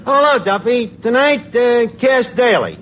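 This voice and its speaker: A man's voice: very high voice, lively intonation, measured pace. A man playing a wisecracking, streetwise tavern manager with a New York accent and slangy bad grammar in a 1940s radio comedy. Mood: brash, wisecracking. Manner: folksy wisecracks in slangy, ungrammatical talk. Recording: muffled, noisy old radio recording.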